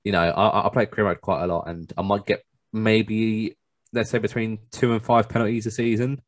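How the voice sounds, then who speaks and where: A man's voice: fairly low pitch, level tone, fairly quick, no room sound. A man in casual chat as a co-host, often repeating words as he starts a thought. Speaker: podcast co-host and guest.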